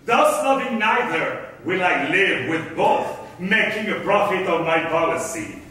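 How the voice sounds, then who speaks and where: A man acting a scheming, self-assured merchant on stage, declaiming Elizabethan drama with deliberate grandeur. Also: sits high, sing-song, bright, forceful tone, far from the mic, exaggerated voice. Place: concert hall stage, far camera. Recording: echoey hall from afar, faint noise.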